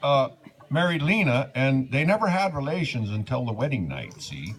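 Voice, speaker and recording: A man's voice: mid-range voice, big pitch swings, normal speed, natural voice. A man playing a folksy Norwegian-American joker, Sven, telling wedding jokes with an Upper Midwest accent in a comic duo. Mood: jovial. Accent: Scandinavian-American Upper Midwest. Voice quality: folksy, chuckling, says "by golly". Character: folksy Scandinavian-American man Sven, jovial joke-teller. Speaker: wedding entertainer. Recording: live room with crowd behind. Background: crowd noise.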